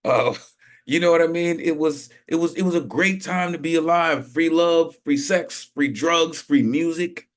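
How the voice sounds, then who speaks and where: A man hosting an online open mic over a video call, talking in an energetic, slangy way, full of shout-outs and "you know what I mean". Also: a little high, measured pace. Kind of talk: hyped casual emceeing.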